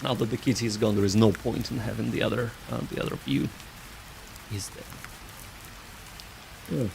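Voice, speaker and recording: Gravelly-voiced man chatting on a livestream. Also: fairly low pitch, steady tone, moderate pace, noisy background. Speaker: livestream host. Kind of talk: casual livestream chat with viewers.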